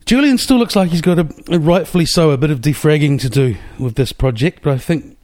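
A man's voice: fairly high pitch, fairly quick, a little mellow. Rambling New Zealand man hosting. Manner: rambling, many restarts and fillers. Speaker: podcast host. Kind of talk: casual interview chat. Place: small rented studio apartment.

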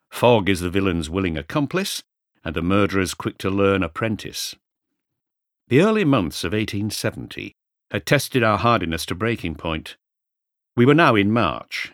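A man reading a Victorian mystery novel aloud in a measured, literary storytelling manner. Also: low voice, fairly bright tone, dry recording, clean studio sound. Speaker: audiobook narrator. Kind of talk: scripted first-person narration of a Victorian mystery novel.

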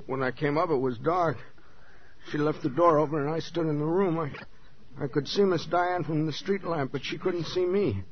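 Man acting slow-witted childlike handyman, halting simple speech, dazed, slightly high voice, muffled 1940s radio drama. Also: natural voice. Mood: dazed, mournful. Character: George, slow-witted, childlike, harmless-seeming handyman in shock. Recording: muffled old radio, faint noise.

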